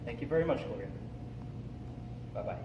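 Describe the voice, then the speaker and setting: A teenage man playing an unhinged, needy grown man resentful of his domineering mother, in a halting, theatrical stage monologue. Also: medium pitch, expressive, close to an everyday voice. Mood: awkward, anxious. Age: teens, high school senior. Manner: theatrical, halting, swings from hushed to shouting. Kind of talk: dramatic monologue, stage speech-contest performance. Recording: distant, echoey, noisy hall recording.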